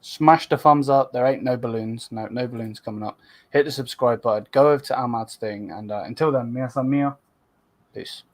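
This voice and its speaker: A man's voice: mid-range voice, normal speed, slight background noise. Laid-back man hosting a stream. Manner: laid-back, swears casually, urges viewers to subscribe. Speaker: channel host. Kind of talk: casual late-night livestream chat.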